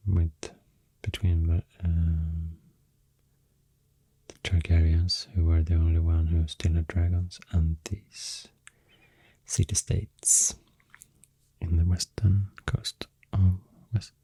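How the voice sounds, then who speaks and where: Deep, breathy Swedish-accented man, near whisper. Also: level tone, warm tone, no echo. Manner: hesitant, unscripted, unhurried. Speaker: ASMR creator. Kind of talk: soft-spoken ASMR rambling explanation. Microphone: close-up ASMR microphone.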